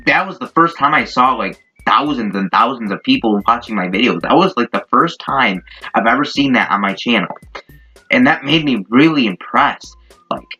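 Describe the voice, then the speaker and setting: A man's voice: mid-range voice, pushed and bright. A young man telling a casual, rambling, informal story as a YouTuber. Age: young adult. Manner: rambling, informal, mildly profane. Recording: dull lo-fi mic. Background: background music.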